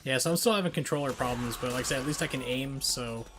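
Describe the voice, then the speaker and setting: A man's voice: mid-range voice, quick pace. A man casually commentating on his live gameplay with a wry, sarcastic manner. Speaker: game streamer. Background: music.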